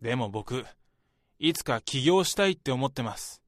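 A man's voice: medium pitch, expressive, normal speed, no room sound, studio quality, natural voice. A man playing an ambitious, self-assured young office worker in a radio drama, speaking politely and earnestly to his senior. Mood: confident, earnest. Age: young adult. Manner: polite junior speech, talkative about ambitions. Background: background music.

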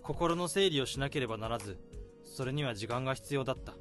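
An actor narrating as a weary, overworked male office worker in a radio drama, reasoning things out in a measured way. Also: mid-range voice, expressive, fairly bright tone, faint music behind, close to an everyday voice.

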